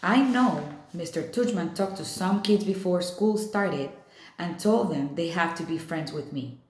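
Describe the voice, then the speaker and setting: A woman's voice: lowish voice, measured pace, a little mellow, natural voice. A sullen young schoolboy from a storybook, as a woman reader puts him on. He is guarded, suspicious and wounded, and keeps his answers clipped. The sound is roomy and echoing. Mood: accusing.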